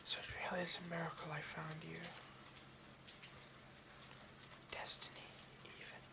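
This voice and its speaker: A man playing a possessive, condescending rich vampire in an intimate ASMR roleplay, domineering and hushed, often slipping into a whisper. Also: a little high, monotone, fairly quick, gravelly, low-quality recording. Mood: hungry, fascinated.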